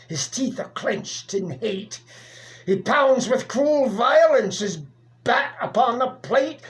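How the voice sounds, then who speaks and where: A person reciting a narrative poem in a theatrical, deliberate manner with dramatic pauses. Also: medium pitch. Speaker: poetry reader.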